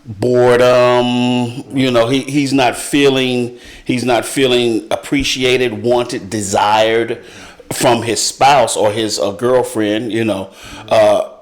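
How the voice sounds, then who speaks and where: A middle-aged man in a casual panel discussion, speaking haltingly and repeating his words as he thinks. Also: medium pitch, fairly even intonation. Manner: halting, repeats words, often says "you know". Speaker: panelist on a talk show.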